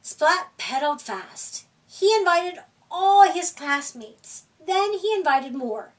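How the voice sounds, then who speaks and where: A woman reading a children's picture book aloud at a library storytime, with gentle, expressive delivery. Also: extremely high pitch, takes it slowly. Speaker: storytime reader. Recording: voice from far off the mic.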